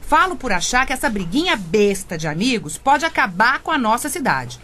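Casting: defiant, righteous single woman, high and very animated, emphatic, radio comedy soap. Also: moderate pace, slight background noise. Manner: emphatic, indignant.

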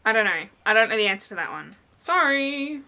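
Young woman, broad New South Wales Australian accent, bright voice, rambling casual vlogger, small room. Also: fairly high pitch, very animated, brisk, gravelly. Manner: chatty, rambling with many ums and likes. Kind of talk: casual vlog chat. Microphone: camera in front, head and shoulders framing.

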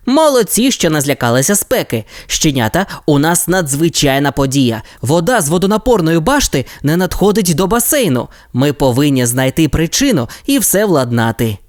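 A calm young boy leader gives orders in a reassuring, commanding voice, as a woman reading a storybook puts him on. His pitch moves a lot. The sound is dry and close. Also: normal speed, no echo.

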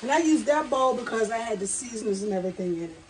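A woman's voice: fairly high pitch, animated, quick pace, darkish tone. Chatty woman cooking over a sizzling pan. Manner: chatty, addresses viewers as y'all. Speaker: home cook vlogger. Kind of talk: casual cooking demonstration. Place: home kitchen indoors, at the stove. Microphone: camera on a counter nearby. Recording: audible kitchen noise, food sizzling.

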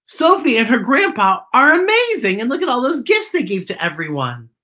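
Animated, bright American drag storyteller. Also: male voice, very high-pitched. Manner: theatrical, exclamatory, drawn-out emphasis. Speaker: host and storyteller of a children's show. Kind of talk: children's storytime read-aloud.

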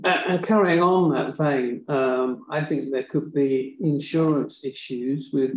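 Slow, hesitant man in a meeting. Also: mid-range voice, fairly even intonation. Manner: hesitant, many ums. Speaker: committee member. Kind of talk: council meeting discussion. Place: large meeting room. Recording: echoey room.

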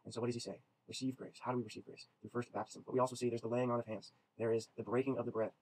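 Fast-talking man lecturing by webcam. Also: mid-range voice, flat delivery, a little mellow, slight background noise, rough recording. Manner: quick, conversational, frequent "right?" check-ins. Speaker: religion teacher. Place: small indoor room. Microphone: webcam at desk.

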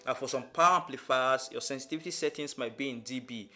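A young man presenting an instructional tutorial in a measured, step-by-step manner. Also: medium pitch, sharp tone. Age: young adult. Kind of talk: instructional explanation to camera. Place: small furnished room indoors.

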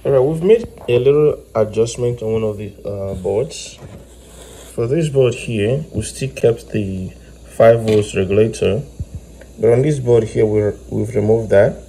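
A man's voice: fairly low pitch, measured pace, dark tone, low-quality recording. A man giving an informal, step-by-step hands-on demonstration as the host of an electronics tutorial. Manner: explanatory, step by step. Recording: faint background noise.

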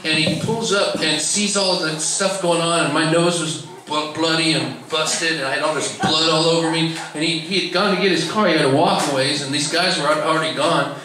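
A man's voice: fairly high pitch, steady tone, fairly quick, few pauses, sharp tone. A middle-aged man hosting an open mic, telling a casual personal story to the audience. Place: bar lounge with audience. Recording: echoey bar, distant mic, crowd noise.

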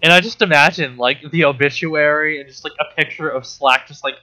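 A man's voice: fairly high pitch, brisk, bright, forceful tone, faint music behind, low-quality recording. A man giving a casual comedic rant as a book commentator, with an exasperated, sarcastic, profane way of talking. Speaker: host reviewing a book.

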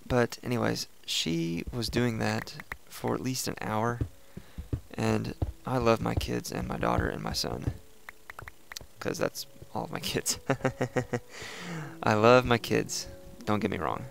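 A young man with a raspy voice, casually chatting and thinking aloud as he hosts a gameplay video. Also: mid-range voice, slow pace, a little hiss or hum. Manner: relaxed, chatty, thinking aloud while playing. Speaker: let's play host.

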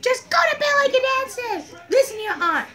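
A young performer doing a very high, childlike cartoon character in a homemade skit. The delivery is loud and giggly, swinging up and down as it exclaims. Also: lively intonation, brisk, exaggerated voice. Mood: scolding.

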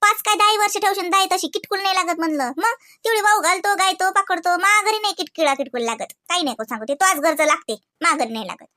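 A woman's voice: extremely high pitch, fast talker, sharp tone, no room sound, studio quality. A woman voicing a proud, shrewd older village aunt in a Marathi comedy cartoon, grumbling emphatically with a Varhadi accent from Vidarbha. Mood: defensive, proud.

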